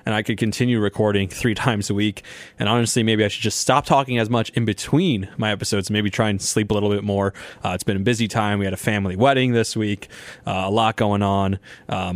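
A man's voice: fairly low pitch, dry recording. A young man hosting a sports podcast in a casual, rambling way, his voice a little sore. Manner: chatty, rambling, laughs often. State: slightly sore voice. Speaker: podcast host.

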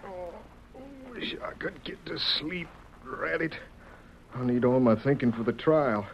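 An actor playing a folksy, wrongly jailed cowboy in a radio western, plaintive and drawling. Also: male voice, medium pitch, lively intonation, unhurried. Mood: weary despair. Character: despairing, wrongly jailed old-timer cowboy, folksy and pleading. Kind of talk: 1940s radio western acting. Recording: muffled, noisy old radio recording.

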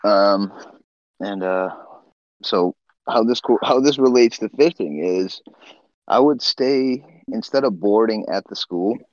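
Deep-voiced laid-back man from Nepal. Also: slow pace, no room sound, dull audio. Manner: laid-back, laughs often, trails off with "uh" and "you know". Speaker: guest, swimbait builder. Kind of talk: casual storytelling interview.

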